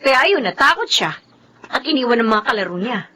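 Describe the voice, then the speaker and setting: A woman's voice: on the high side, brisk, heavily acted. A woman playing an anxious, fussing young mother and wife in a radio drama. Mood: confiding. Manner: expressive, fussing.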